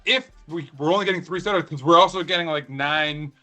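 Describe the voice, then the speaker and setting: A man co-hosting a football podcast in casual chat, asking probing questions with quick interjections. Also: a little high, bright, forceful tone, soft background music. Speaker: podcast co-host.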